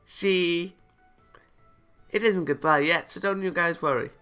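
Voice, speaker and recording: A man's voice: on the high side, a little slow, pushed and bright, faint music behind, voice pushed up. A man voicing a cheerful, optimistic and bossy anime angel girl from a visual novel game. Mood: breezy, reassuring.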